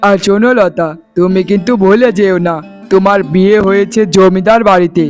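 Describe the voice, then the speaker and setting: A man voicing a village gentleman in an animated moral tale. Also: high-pitched, lightly acted. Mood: affectionate. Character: men of a landlord tale: messenger and proud sons.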